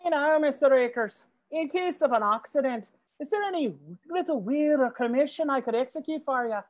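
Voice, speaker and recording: A woman's voice: sits high, a little fast, lightly acted, poor audio. A woman playing a genial duel-loving knight. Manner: cheerfully morbid, genial. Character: eager male Irish duelling knight.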